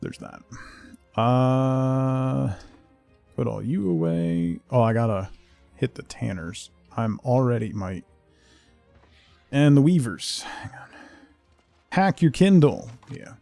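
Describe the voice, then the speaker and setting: A man's voice: medium pitch, lively intonation, slow pace, gravelly, long pauses, warm tone, faint music behind. A middle-aged man streaming a game, chatting casually with viewers and muttering to himself in between. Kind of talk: casual chat while playing a game, replying to viewers.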